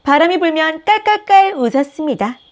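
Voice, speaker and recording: A woman reads a children's picture book aloud with gentle, expressive storytelling. Her voice sits somewhat high and moves in a lively way through each sentence. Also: brisk. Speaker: storybook reader, channel host.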